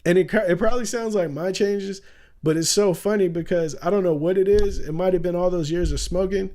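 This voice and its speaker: Laid-back slangy man, mellow voice. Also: high voice, fairly quick. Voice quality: raspy at times. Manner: loose, slangy, laughs often, says "bro" a lot. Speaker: streamer. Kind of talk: casual livestream commentary.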